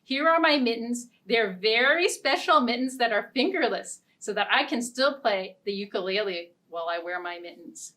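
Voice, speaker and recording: A woman hosting a children's storytime, reading and talking to small children in a playful, expressive way. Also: a little high, moderate pace, hardly any pauses. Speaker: children's librarian, storytime host. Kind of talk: children's storytime with read-aloud story and songs.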